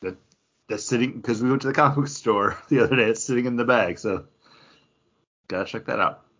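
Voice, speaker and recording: A man's voice: mid-range voice, fairly quick, fairly bright tone. A man co-hosting a podcast, chatting in a casual, rambling, conversational way. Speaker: podcast co-host. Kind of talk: casual chat about comics and beer. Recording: dull, lo-fi podcast audio.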